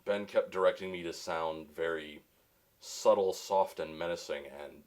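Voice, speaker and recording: A voice actor with a very deep, resonant voice, answering questions in a casual interview with dry wit. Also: male voice, steady tone, normal speed, darkish tone.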